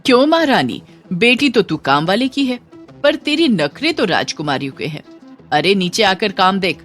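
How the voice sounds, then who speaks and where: A woman's voice: medium pitch, sing-song, moderate pace, fairly bright tone, soft background music. A woman voicing a scornful, haughty older village mother-in-law, bossy and sneering, in a moral story. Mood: scolding, scornful. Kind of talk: Hindi moral story dramatization.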